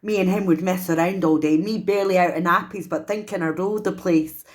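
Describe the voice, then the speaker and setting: A woman with a Scottish accent performing a dramatic, emotive solo stage monologue as a traumatised young woman raised in an orphanage, pausing deliberately. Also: on the low side, steady tone, lightly acted. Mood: wry, reminiscing.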